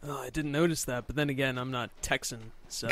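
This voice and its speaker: A man's voice: medium pitch, fairly even intonation, brisk, noisy background. A man co-commentating on a video game with casual, wry, quippy banter.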